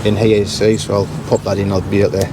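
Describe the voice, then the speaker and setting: Man talking over loud outdoor noise. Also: fairly low pitch, little pitch movement, fast. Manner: matter-of-fact, thinking aloud while working. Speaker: intercom repair engineer presenting his work. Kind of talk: casual hands-on repair walkthrough.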